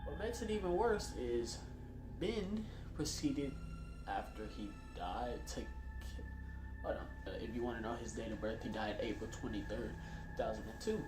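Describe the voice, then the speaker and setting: A man's voice: medium pitch, expressive, moderate pace. Casual man narrating over music, slightly stumbling. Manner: informal, stumbling over words, offhand asides. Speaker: YouTube video host. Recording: roomy echo, music behind. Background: background music.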